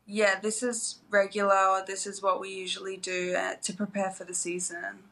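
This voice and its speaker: A young Australian woman in her twenties, a college basketball player answering interview questions softly and hesitantly, with many fillers. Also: medium pitch, completely flat delivery, moderate pace, gravelly, low-quality recording. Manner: soft, hesitant, lots of "like" and "um".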